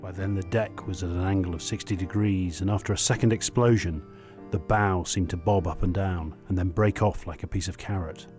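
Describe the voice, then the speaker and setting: An actor playing a sombre sailor who survived a shipwreck, giving plain, reflective testimony in a radio drama. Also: male voice, sits low, steady tone, brisk, lightly acted. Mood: sombre, remembering. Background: guitar music.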